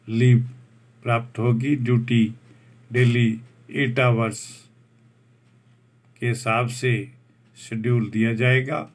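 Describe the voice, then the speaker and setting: Slow, deliberate man. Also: medium pitch. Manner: slow, deliberate, restates each point in Hindi after English terms. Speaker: job vacancy channel host. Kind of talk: reading out a job advertisement. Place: voice-over over a document.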